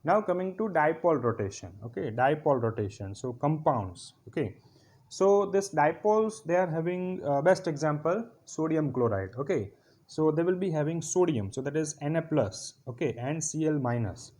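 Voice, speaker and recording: Guy teaching off slides, slightly high voice, keeps saying clear?. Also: animated, moderate pace, darkish tone. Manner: asks "clear?" over and over, explaining step by step. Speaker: physiotherapy lecturer. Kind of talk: slide-based lecture.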